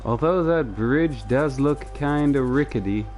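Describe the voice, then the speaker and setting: Slow-talking man rambling on a game stream. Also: mid-range voice, darkish tone. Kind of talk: casual livestream commentary and reading game dialogue aloud. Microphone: close streaming microphone. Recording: game music behind the voice.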